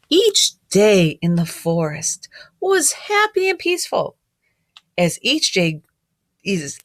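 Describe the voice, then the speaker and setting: Woman, middle-aged, slow teacherly read-aloud, child-directed, dry close room sound. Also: mid-range voice, husky, no echo. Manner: asks listeners frequent gentle questions, child-directed. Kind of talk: picture-book read-aloud with chatty asides to children.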